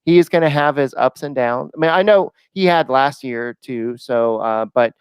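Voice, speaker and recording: Man with a very clear voice talking baseball. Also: medium pitch, normal speed, dry recording. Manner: hesitant, many uh fillers. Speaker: podcast co-host. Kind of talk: casual sports podcast chat.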